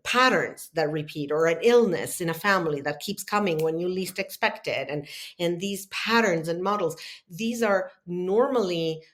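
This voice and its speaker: A woman speaks as an interview guest, her voice sitting notably low. She talks earnestly and from the heart, working through her ideas aloud with small pauses and restarts. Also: fairly bright tone. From Argentina. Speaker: podcast guest, healing facilitator. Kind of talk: conversational interview, long explanations.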